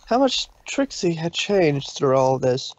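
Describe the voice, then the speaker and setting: A woman's voice: sits low, very animated, slow pace. A woman hosting and reading fan fiction in an informal way. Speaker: fan fiction reader and host. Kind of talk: narrated story reading and casual chat. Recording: dull, dry lo-fi microphone.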